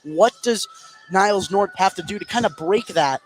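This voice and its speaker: A higher-voiced American man works as a play-by-play announcer at a basketball game. He gets excited and draws out words on big plays. Gym noise is audible behind him. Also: moderate pace, poor audio. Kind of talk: live basketball play-by-play commentary. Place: indoor high school gym. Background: gymnasium ambience.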